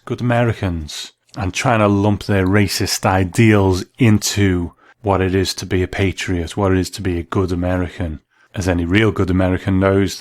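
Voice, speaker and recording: A man's voice: sits low, dry recording. A man hosting a talk program, giving scripted commentary and reading from articles. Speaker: host.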